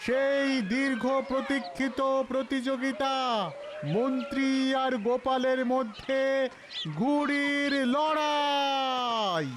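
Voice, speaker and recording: A man voicing a grand, excitable cartoon contest announcer who stretches out each announcement. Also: very high-pitched, slow pace, noisy background. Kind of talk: drawn-out public announcement.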